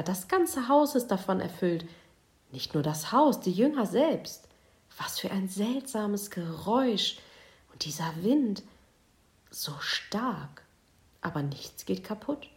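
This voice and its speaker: A woman narrates a children's Bible story. Her pace is unhurried and her pitch swings widely. She moves between hushed near-whispers and ringing exclamations. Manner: expressive, shifting from hushed to exclaiming.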